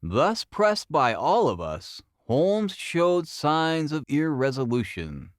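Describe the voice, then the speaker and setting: Guy reading an old novel audiobook, expressive and formal, really swoopy intonation, close dry sound. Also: a little high, slow pace, dry recording. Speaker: audiobook narrator. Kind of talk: scripted dramatic narration of a classic novel.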